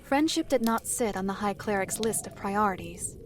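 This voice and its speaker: An actress playing a formal, matter-of-fact storyteller who recounts a dark fantasy history in a measured way. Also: female voice, medium pitch, a crowd in the background, lightly acted. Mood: grave narration. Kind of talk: scripted fantasy narration. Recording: faint music behind the voice. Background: music.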